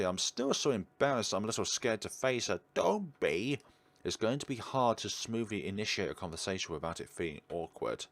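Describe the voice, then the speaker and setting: A man's voice: lowish voice, fairly bright tone, no room sound. A man hosting a let's play, reading visual novel text aloud and adding chatty asides. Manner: chatty asides between read-out narration. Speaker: gaming video host.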